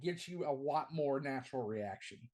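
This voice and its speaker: Man with a carrying, not-deep voice. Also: expressive, normal speed, darkish tone. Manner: enthusiastic, repeats words at the start of phrases. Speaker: wrestling commentator and ring announcer. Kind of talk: casual podcast interview.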